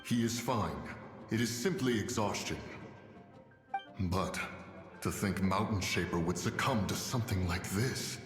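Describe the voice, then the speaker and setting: A composed, authoritative immortal beast from a video game. The voice is on the low side, formal and archaic. It echoes as in a large hall, over faint music. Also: male voice, unhurried, pauses often. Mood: grave. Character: stately, composed male immortal adeptus beast. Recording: echoey hall reverb, soft music behind.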